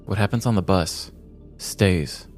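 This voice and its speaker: A man's voice: low voice, a little slow, a little mellow. An American man in his thirties reading scripted comedic story narration as a podcast host. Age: 30s. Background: faint music.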